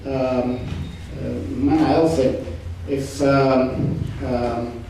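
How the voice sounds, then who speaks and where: A man speaking on a discussion panel, halting, with many fillers and restarts. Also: mid-range voice, steady tone, warm tone. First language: Romanian. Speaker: panel participant. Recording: echoey hall, distant mic, faint noise.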